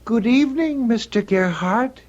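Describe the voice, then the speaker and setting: Noisy old radio recording, lonely polite old ghost. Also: male voice, very high-pitched, expressive, unhurried, muffled recording. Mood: plaintive, friendly. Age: older. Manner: courteous, gently insistent, wheedling. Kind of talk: old-time radio drama acting.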